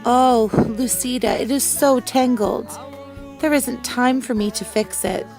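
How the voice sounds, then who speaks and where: A woman voicing a kindly, concerned village neighbor in a storybook, emphatic and expressive. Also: a little high, measured pace, vocal fry, faint music behind.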